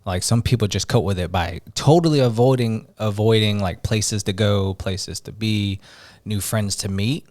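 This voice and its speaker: Laid-back man in his thirties, low voice. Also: moderate pace, darkish tone, no room sound. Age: 30s. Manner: laughs often, easygoing, fillers like "man" and "bro". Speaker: podcast co-host. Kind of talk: casual chat.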